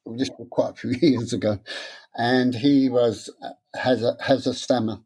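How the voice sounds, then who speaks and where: A man's voice: mid-range voice, fairly even intonation, measured pace, darkish tone, slightly rough. A man, a guest in an interview, speaking casually with a stammer, often repeating words and hesitating.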